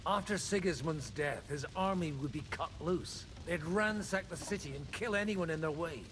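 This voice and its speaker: An actor playing a sober, cautious medieval nobleman in a video game, with an expressive, solemn delivery. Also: on the high side, moderate pace, a little hiss or hum, lightly acted. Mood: serious, cautioning. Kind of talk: dramatic video game dialogue.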